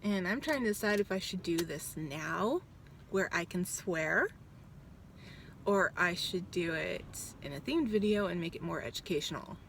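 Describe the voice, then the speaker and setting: A woman's voice: fairly low pitch, animated, a little slow, a bit bright, slight background noise. A woman in her thirties chatting casually in a vlog, blunt and swearing freely, with lots of ums, recorded outside a doctor's office. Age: 30s. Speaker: vlogger. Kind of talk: casual rambling vlog chat.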